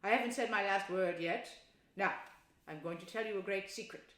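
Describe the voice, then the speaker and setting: A woman's voice: medium pitch, normal speed, a bit bright, natural voice. A woman voicing a stern, wise old male badger with a thin, dry, severe voice. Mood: kindly firm. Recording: roomy echo.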